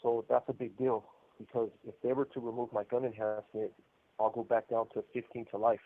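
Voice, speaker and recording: A man's voice: mid-range voice, level tone, fairly quick. An American man calling from prison, telling his own story candidly over the phone. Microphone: telephone. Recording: muffled narrow-band prison phone line.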